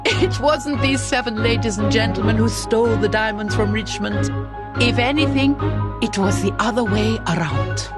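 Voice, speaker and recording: An actress playing a proud, fact-driven young investigator in an animated mystery game, precise and superior, over loud music. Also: female voice, fairly high pitch, normal speed, lightly acted. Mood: haughty.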